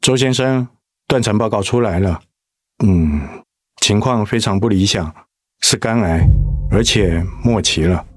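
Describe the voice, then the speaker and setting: A man playing a calm, tactful doctor in a radio drama, measured, professional and reassuring. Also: on the low side, no room sound, soft background music. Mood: grave.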